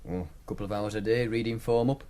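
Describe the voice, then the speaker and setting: An actor playing a cocky young student with a Northern English accent, wry and casual, in naturalistic radio drama. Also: male voice, fairly low pitch, steady tone, quick pace, warm tone, close to an everyday voice. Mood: guarded, wry. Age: young adult. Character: Mark, cocky young student with a betting knack.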